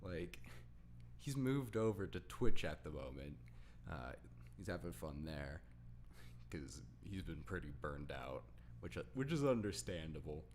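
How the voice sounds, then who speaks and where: A man's voice: rumbling low, very animated, a little slow, background noise. A man hosting a casual podcast chat, rambling with a fan's enthusiasm. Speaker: podcast host.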